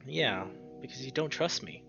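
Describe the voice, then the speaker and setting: A man's voice: medium pitch, animated, normal speed, creaky, lo-fi sound, over music. A man voicing a troubled, resentful young male animal character in a visual novel, brooding and sardonic. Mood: bitter, provoking.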